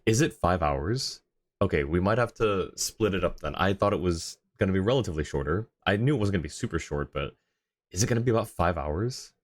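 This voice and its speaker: Low voiced guy streamer, just chatting away with his viewers, friendly and casual. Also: expressive, brisk. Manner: friendly, chatty, thanks and greets viewers by turns. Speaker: live streamer, host. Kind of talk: casual livestream chat with viewers.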